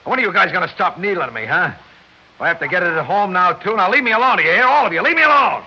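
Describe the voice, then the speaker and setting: A man acting a big, hurt, quick-tempered working-class American husband in an old radio drama, with rough, emotional speech. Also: high voice, lively intonation, pushed and bright, full character voice. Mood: angry. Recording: dull, noisy old radio recording.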